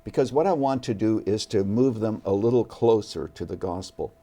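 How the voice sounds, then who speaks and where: A man who is a pastor and author, a guest on an interview show, speaking in a measured, earnest and gentle way. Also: fairly low pitch. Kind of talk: studio interview.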